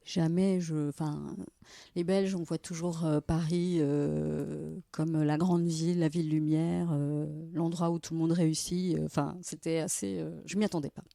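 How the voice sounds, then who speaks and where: Woman from Brussels, forties, lively storyteller, mellow voice, dry close sound. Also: low-pitched, normal speed, vocal fry, no room sound. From Belgium, Brussels. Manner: lively, laughs often, restarts sentences. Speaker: lawyer, interview guest. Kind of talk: casual storytelling interview.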